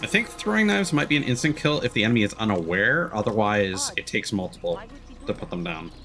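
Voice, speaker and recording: A man giving casual, thoughtful let's play commentary, often halting mid-sentence as he works through an idea. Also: fairly low pitch, lively intonation. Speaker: game streamer. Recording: game music behind the voice. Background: video game music.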